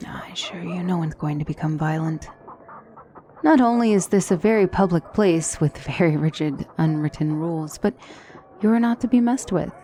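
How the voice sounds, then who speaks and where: A woman playing a poised, formal demonic tutor in a fantasy ASMR roleplay, speaking as a wise and gently reassuring mentor with measured, courtly phrasing. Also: fairly low pitch, a little hiss or hum, lightly acted. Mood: reassuring, instructive. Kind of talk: ASMR fantasy roleplay, scripted character acting.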